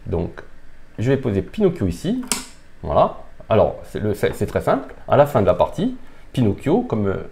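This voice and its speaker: A man's voice: fairly low pitch, huge pitch swings, moderate pace, vocal fry, dark tone. A man presenting and playing a board game in a casual, unscripted demonstration, thinking aloud hesitantly as he explains. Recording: background noise, room ambience.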